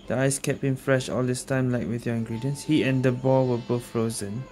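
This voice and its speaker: A woman's voice: a bass voice, steady tone, normal speed, warm tone. A woman giving casual commentary as she plays through a video game. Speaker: gamer narrating a playthrough. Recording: game music behind the voice. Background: video game music and sounds.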